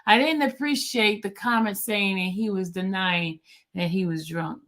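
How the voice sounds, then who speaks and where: A woman hosting a casual livestream chat, reading viewer comments aloud in an animated way. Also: mid-range voice, normal speed. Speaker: livestream host.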